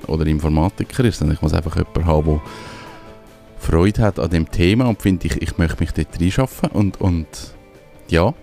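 A man's voice: low voice, brisk, vocal fry, dark tone, soft background music. A man with a Swiss German accent chatting casually as a radio co-host, rambling and searching for his words. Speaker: radio show co-host and small business owner.

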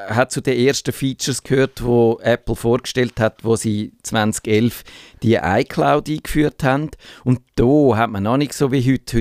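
A man's voice: medium pitch, a little mellow, dry recording. Swiss German man, radio tech host, chatty and explanatory, dry close mic. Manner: chatty, explaining, reads listener mail aloud. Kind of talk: casual radio chat.

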